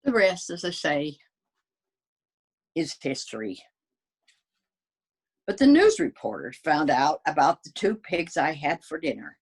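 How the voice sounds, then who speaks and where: Woman as a low, husky, wily storybook wolf. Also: sing-song, a little slow, long pauses, a little mellow, full character voice. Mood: self-justifying. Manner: folksy, self-justifying storytelling. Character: wily male wolf pleading his innocence. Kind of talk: storybook character narration.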